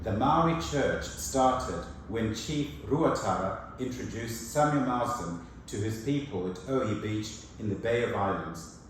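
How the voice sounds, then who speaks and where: A man whose first language is Shona, giving a sermon as a preacher, measured and earnest, with slow emphatic phrases. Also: fairly low pitch, a little hiss or hum. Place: church hall. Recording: echoey church from far off.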